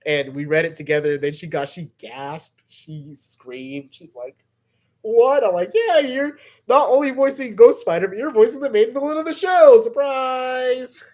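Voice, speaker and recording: A man's voice: very high-pitched, unhurried, a little mellow. A man hosting a channel, chatting casually and chummily with fans on a livestream in a rambling way. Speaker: host of a fan series channel answering questions.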